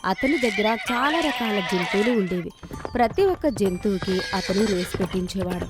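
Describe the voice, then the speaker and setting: A woman's voice: mid-range voice, lively intonation, moderate pace. A woman reading scripted narration for children's moral stories. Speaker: story narrator. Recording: clear music bed behind narration.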